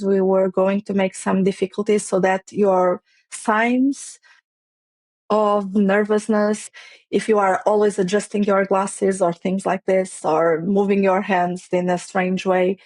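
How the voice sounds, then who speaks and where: A woman's voice: medium pitch, darkish tone, dry recording. Woman, dry close mic. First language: Portuguese. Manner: hesitant, many restarts and fillers, non-native phrasing. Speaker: guest, playwright and facilitator. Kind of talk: conversational interview.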